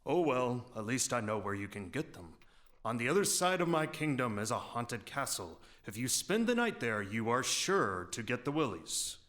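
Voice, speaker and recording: Man playing a pompous, animated storybook king. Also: medium pitch, moderate pace. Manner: pompous, declamatory. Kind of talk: comic radio play acting.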